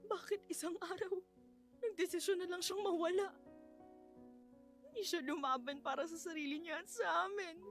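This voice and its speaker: High-pitched, tearful grieving Filipino widow. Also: female voice, normal speed, pauses often, a bit bright. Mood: anguished, despairing. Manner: tearful, pleading delivery with repeated broken phrases. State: crying. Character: grief-stricken young widow, anguished, guilt-ridden, despairing. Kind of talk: radio drama acting, heavily emotional. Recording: studio voice over prominent dramatic music.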